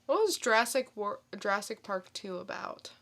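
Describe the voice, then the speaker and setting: A woman's voice: medium pitch, very animated, normal speed, a bit bright. A woman co-hosting a podcast, talking casually and giving informal recaps. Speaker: podcast co-host. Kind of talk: casual chat and informal review.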